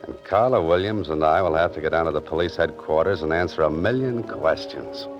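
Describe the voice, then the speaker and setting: An actor playing a wry young fiction writer caught up in crime, in 1940s radio style with an American accent and a sardonic, hard-boiled delivery. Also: male voice, low-pitched, brisk, dull audio, over music, natural voice. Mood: wry, brooding. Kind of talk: 1940s radio acting, first-person noir narration.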